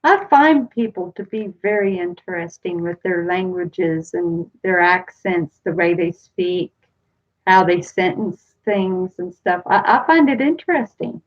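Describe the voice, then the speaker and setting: A woman's voice: on the low side, a little slow. A woman in her forties from the United States, chatting casually on a home live stream and answering viewers' comments one by one. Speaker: vlogger reading live chat. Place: small room at home, indoors. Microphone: webcam built-in mic. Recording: slightly dull lo-fi webcam audio.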